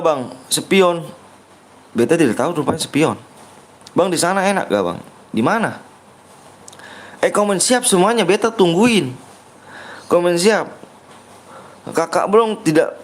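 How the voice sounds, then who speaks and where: A young man live-streaming a game, reading viewer comments aloud and bantering with them, joking about himself and urging everyone to like and subscribe. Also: a little high. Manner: playful joking persona. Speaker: game livestreamer. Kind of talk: casual live-stream chat.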